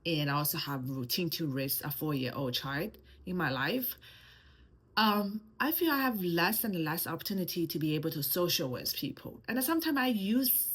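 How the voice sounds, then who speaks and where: A woman's voice: low voice, a bit bright, breathy edge. A woman in her thirties from China with a Mandarin accent, talking hesitantly to camera in a personal vlog, with many fillers and restarts. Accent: Mandarin Chinese. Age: 30s. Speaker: channel host.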